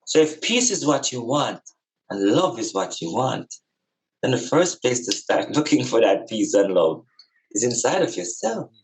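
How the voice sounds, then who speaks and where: A man's voice: medium pitch, very animated, unhurried, low-quality recording. A man with a Jamaican accent giving a reflective spiritual talk in an emphatic, preaching manner, with repeated phrases and rhetorical questions.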